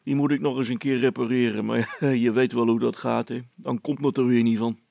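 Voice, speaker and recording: A man's voice: mid-range voice, fairly even intonation, brisk, creaky, dark tone, no room sound, natural voice. A man voicing a good-natured, proud village policeman with a folksy, rambling, hesitant way of talking in a comic story. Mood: jovial. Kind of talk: character voice in a read-aloud comic story.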